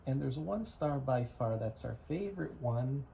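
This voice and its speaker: A parent reading rhyming picture books aloud to a toddler in a deliberate sing-song rhythm, in a home recording. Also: medium pitch, expressive, gravelly, mellow. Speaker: parent reading bedtime stories. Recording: noisy amateur home recording.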